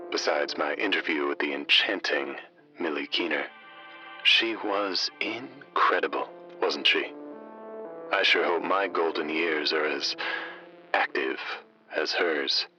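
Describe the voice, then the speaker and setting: An actor playing a folksy, mysterious late-night radio host, speaking in a deliberate, intimate, conspiratorial manner with a husky voice. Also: male voice, pushed and bright, lo-fi sound, faint music behind, full character voice. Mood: folksy, eerie. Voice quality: husky, breathy, deep.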